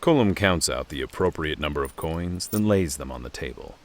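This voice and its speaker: A man's voice: a bass voice, lively intonation, moderate pace. A man narrating a fantasy audio drama in a dramatic scripted storytelling style.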